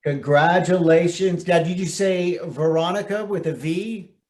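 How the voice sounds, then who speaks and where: A man's voice: high voice, measured pace, darkish tone. An American man hosting a community event over a video call, speaking as an announcer and presenter. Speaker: event host, news anchor.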